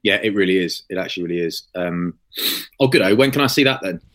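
A man's voice: low-pitched, lively intonation, fast, a bit bright. Teasing man hosting a podcast. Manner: teasing, playful banter. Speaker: podcast host. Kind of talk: casual chat.

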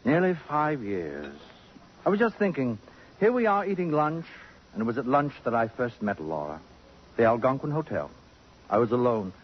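A man's voice: medium pitch, big pitch swings, moderate pace, natural voice. Pompous, sardonic columnist, played by a man, swooping delivery. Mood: wistful, self-important. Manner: pompous, precise, sardonic, elaborate phrasing. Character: vain, acid-tongued middle-aged male columnist, haughty and cultured. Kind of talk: 1950s radio drama acting. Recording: muffled, noisy 1950s radio broadcast.

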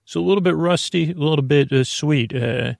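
Male sleep-podcast host: gravelly creak, lowish-to-middle pitch kept soothing by a close, dry sound, wandering and hesitant delivery. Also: no echo. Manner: meandering, hesitant, halting with digressions. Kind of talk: rambling bedtime sleep-podcast monologue.

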